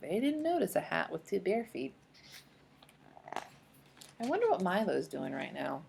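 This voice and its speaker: A woman's voice: lowish voice, sing-song, unhurried, creaky, a little mellow, a little hiss or hum. A woman teacher leading a children's read-aloud lesson, explaining in an encouraging way and asking guiding questions. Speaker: speech-language teacher.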